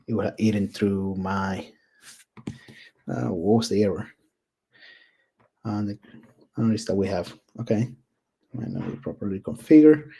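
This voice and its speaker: A man's voice: on the low side, warm tone, slightly rough. A man with a thick Puerto Rican accent who talks through a coding build, casual and candid, thinking aloud with many ums and restarts. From Puerto Rico. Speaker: tech YouTuber. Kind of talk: screen-recorded coding walkthrough.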